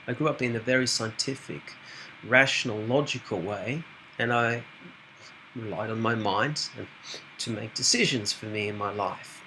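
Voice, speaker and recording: A middle-aged man from Switzerland introducing his work as a spiritual medium in a calm, measured way with occasional hesitations. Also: lowish voice, slight background noise, rough recording. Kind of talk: direct-to-camera self-introduction.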